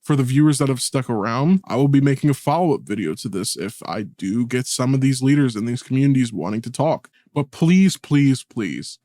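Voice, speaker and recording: A man's voice: mid-range voice, lively intonation, fairly quick, few pauses, warm tone, dry recording, studio quality. A man speaking earnestly and colloquially in a personal video essay, as the creator narrating it.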